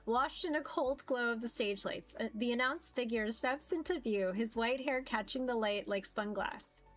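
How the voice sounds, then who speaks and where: A woman's voice: fairly high pitch, normal speed, soft background music. A woman streamer reading a visual novel aloud in a casual live style, often stumbling over words and teasing herself.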